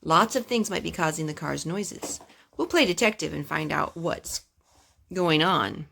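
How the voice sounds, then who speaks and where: A woman's voice: deep voice, animated, a little slow, gravelly, a bit bright, slight background noise, full character voice. A woman voicing a patient mechanic father in a storybook, explaining car repairs in an instructive way. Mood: patient, explanatory.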